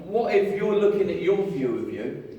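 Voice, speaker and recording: A man preaching a lively, jokey sermon, telling a story with voices and getting the congregation to join in. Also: high voice, moderate pace, dull audio, slight background noise. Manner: jokey, animated storytelling with audience participation. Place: large hall with an audience. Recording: echoey hall, far from mic, crowd.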